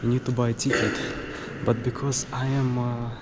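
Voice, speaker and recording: A young man in his twenties from Russia, speaking English with a Russian accent, talks to his camera in a casual travel vlog, halting and full of fillers and restarts. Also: mid-range voice, little pitch movement, background noise. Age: 20s. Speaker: travel vlogger. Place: sightseeing spots around a city, partly outdoors.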